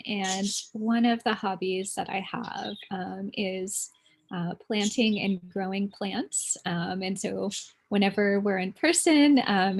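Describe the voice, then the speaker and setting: A woman's voice: medium pitch, slight background noise. A woman advisor from California, cheerful and laughing, with many fillers as she introduces herself on a video call. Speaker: academic advisor. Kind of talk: webinar self-introduction.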